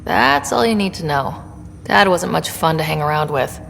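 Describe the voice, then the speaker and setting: Casting note: young woman explorer, dry and wry, slightly gravelly low voice, long hall reverb. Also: expressive, moderate pace, fairly bright tone, slight background noise, full character voice. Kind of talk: video game voice acting.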